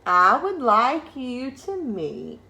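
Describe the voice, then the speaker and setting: Woman as a gushing, animated school principal. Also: fairly high pitch, slow pace, gravelly, pitched above the performer's own voice, full character voice. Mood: announcing. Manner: gushing, emphatic announcements. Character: gushing, brisk, reassuring female school principal.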